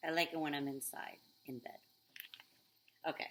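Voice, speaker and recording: A woman reading a picture book aloud to children, expressive, with chatty questions and asides. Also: sits low, normal speed, noisy background.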